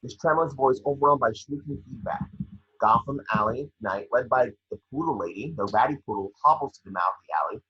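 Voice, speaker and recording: A man with a husky voice reading scene descriptions at a table read, sometimes stumbling over the script. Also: medium pitch, quick pace, pushed and bright, dull audio.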